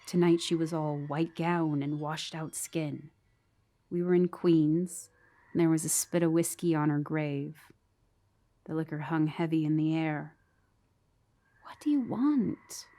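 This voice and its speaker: A woman's voice: deep voice, measured pace, long pauses, mellow. An actress playing a brooding, insecure woman who narrates her troubled romance as an intimate, bitter, haunted ghost story monologue. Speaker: narrator and lead character of a horror audio drama. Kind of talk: dramatic first-person ghost story monologue. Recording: music behind the voice. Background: musical underscore.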